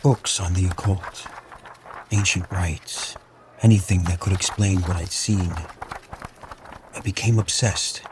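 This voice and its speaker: A man's voice: deep voice, steady tone, slow pace, long pauses, noisy background. A man playing a haunted, battle-hardened army captain, narrating occult horrors in a brooding, theatrical way with clipped dramatic phrases in an audio drama. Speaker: voice actor.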